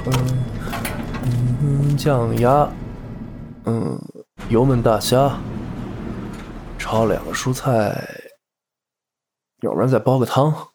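A man playing a sincere, easily flustered young man in love in an audio drama, soft and hesitant. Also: medium pitch, slow pace, long pauses, big-room sound, music in the background. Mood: musing.

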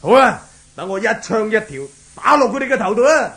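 A man's voice: high-pitched, expressive, brisk, background noise. An actor playing a brash, swaggering henchman with a Hong Kong Cantonese accent in a 1970s radio drama. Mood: cocky. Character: cocky criminal henchman, brash and casual, serving his boss.

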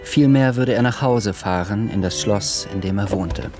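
Low-voiced male narrator over music. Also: moderate pace. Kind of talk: scripted literary narration in a radio play. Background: music behind the voice.

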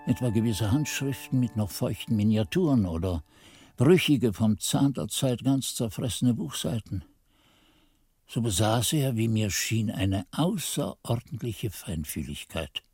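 An older male actor playing an aged monk who narrates memories of his youth in a measured, literary radio play style. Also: lowish voice, expressive, a little mellow, husky, no echo, exaggerated voice. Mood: reflective. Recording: 1980s radio play with faint music.

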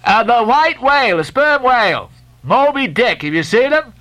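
A man voicing a brash, jolly sailor who bawls and teases loudly. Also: extremely high pitch, lively intonation, moderate pace, voice pushed up. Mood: bawling mockery. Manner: loud bawling, teasing.

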